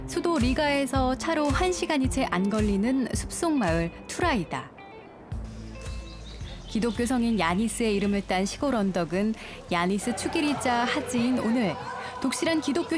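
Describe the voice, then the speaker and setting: A woman reading a calm, lyrical documentary travel narration. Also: a little high, moderate pace. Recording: studio voice-over with music.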